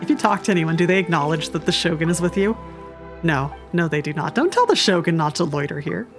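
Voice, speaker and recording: A woman's voice: on the low side, sing-song, fast. A woman streamer giving casual, wry, amused commentary as she plays a game. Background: game music.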